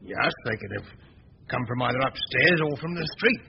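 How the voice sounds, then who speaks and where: A man's voice: mid-range voice, expressive, normal speed, vocal fry. A man playing an uneasy, blunt innkeeper mixed up in crime in a 1940s radio drama, with an edgy manner. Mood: defensive. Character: uneasy, blunt male innkeeper and nervous criminal accomplice. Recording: dull, noisy old 1940s radio recording.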